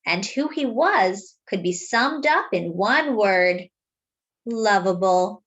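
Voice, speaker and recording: A woman reading a children's picture book aloud, with dramatic, deliberate phrasing and drawn-out words. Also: mid-range voice, animated, a bit bright, lo-fi sound. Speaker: children's story reader.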